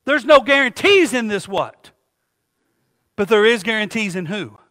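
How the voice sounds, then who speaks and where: A man's voice: high voice, animated, a little slow, sharp tone, big-room sound. A man preaching a sermon to his congregation, calling out questions for them to finish. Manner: call-and-response preaching, asks the congregation to finish lines. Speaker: pastor. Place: church sanctuary.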